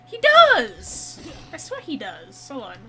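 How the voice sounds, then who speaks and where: Excitable high-voiced woman streaming a game. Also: slow pace, soft background music. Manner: excitable, gushing, blunt. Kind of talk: casual reactive gameplay commentary.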